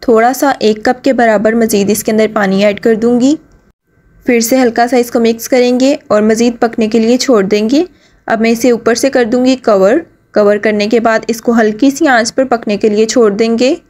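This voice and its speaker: A woman, narrating a cooking video in a voice-over and walking the viewer through each step. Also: fairly high pitch. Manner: steady step-by-step instructions to the viewer. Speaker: cooking channel host.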